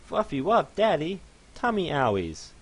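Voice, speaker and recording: A man's voice: on the high side, huge pitch swings, unhurried, breathy edge, pitched above the performer's own voice, full character voice. A man voicing a tiny, frightened, childlike female pet creature that speaks in broken baby talk. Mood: weak.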